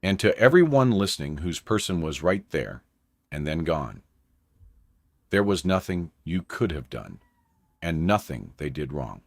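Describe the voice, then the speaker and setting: A man playing a grave radio news anchor in an apocalypse drama, speaking solemnly and deliberately in halting phrases. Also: deep voice, sing-song, vocal fry, dry recording, studio quality, exaggerated voice. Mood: gentle, steadying. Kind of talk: dramatized breaking news broadcast.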